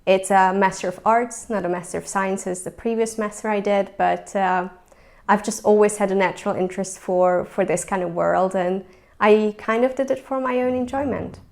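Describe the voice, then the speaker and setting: A woman's voice: mid-range voice, fairly even intonation, moderate pace, darkish tone. Hungarian woman recalling her studies. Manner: thoughtful, with many ums and restarts. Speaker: former university student. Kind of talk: casual spoken account to camera.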